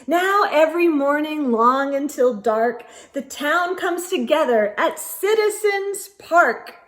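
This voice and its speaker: A woman with a high voice reads a rhyming picture book for young children. Her storytelling is animated and expressive, and she takes her time over the lines. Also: slow pace. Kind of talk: expressive picture-book read-aloud in rhyme.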